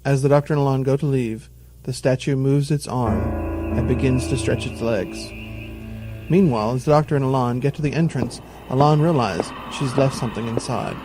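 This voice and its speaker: Deliberate male narrator over music. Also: medium pitch. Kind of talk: scripted audio drama narration. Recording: music bed behind the narration.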